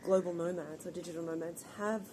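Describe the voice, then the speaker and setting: A middle-aged woman from Australia answering interview questions in a thoughtful, earnest, measured way. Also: fairly low pitch, steady tone, warm tone. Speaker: guest, founder of an organization. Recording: background ambience noise.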